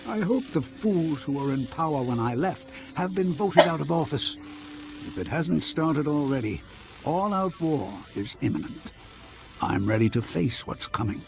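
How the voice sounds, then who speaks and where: A bitter, proud scientist holds forth in a game cutscene with loud music behind. The voice is fairly high and swoops emphatically, full of hawkish opinion. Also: male voice, animated, measured pace, gravelly. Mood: determined. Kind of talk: video game cutscene acting.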